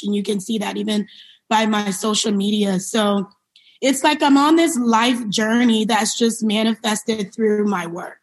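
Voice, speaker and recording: A woman who grew up in New York City, answering in a conversational interview as a company founder, reflective and explanatory. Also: medium pitch. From born in Liberia, grew up in New York City.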